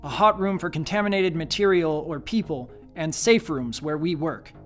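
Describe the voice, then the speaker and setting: An actor playing an idealistic, cautious scientist in a radio play, measured and explanatory. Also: male voice, a little high, faint music behind, close to an everyday voice.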